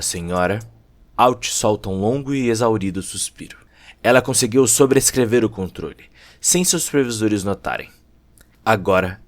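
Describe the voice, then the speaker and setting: A Brazilian man narrating a dramatic scripted audio story in a theatrical, deliberate way, with short emphatic phrases. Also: lowish voice. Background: prominent music.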